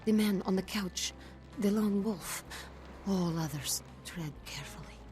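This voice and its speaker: A woman's voice: mid-range voice, a little slow, a little mellow, soft background music, natural voice. A woman playing a cryptic fortune teller in a video game, speaking in portentous riddles. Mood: ominous. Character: cryptic, ominous female fortune teller who sees the future. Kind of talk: video game dialogue acting.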